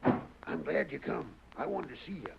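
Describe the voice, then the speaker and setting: An actor playing a jittery, crooked sheriff in a 1940s Western radio drama, with a creaky, folksy, excitable American delivery. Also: male voice, on the high side, normal speed. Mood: uneasy, surprised. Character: crooked, jittery old-West sheriff, roused from sleep. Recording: muffled, noisy old radio recording.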